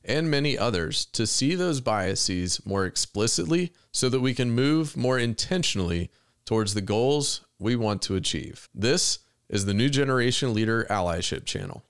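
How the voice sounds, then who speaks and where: A man's voice: medium pitch, lively intonation, moderate pace, clean tone, no room sound, clean studio sound. A man with an American accent, hosting a podcast with introductions and interview questions. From Richmond, Virginia, United States. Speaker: podcast host.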